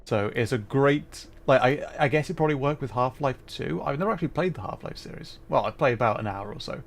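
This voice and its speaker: A man's voice: medium pitch, lively intonation, fast, slight background noise. A man hosting a gameplay livestream with casual, chatty, self-deprecating commentary. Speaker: livestream host.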